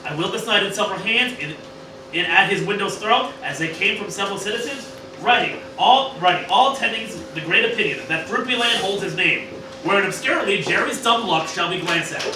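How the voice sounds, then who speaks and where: Arrogant old mad-scientist cartoon villain, acted by a man, sweary and declamatory, high voice, recorded roomy and distant. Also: animated, a little fast. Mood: scheming. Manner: ranting, swearing, declamatory, sometimes stumbling over lines. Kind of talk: amateur comedic Shakespeare parody performance. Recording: roomy, distant mic, noisy room.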